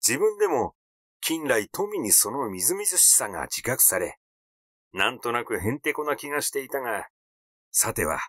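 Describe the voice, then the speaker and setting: Expressive male audiobook narrator, lively intonation. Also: medium pitch, dry recording, clean studio sound. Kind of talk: scripted literary reading.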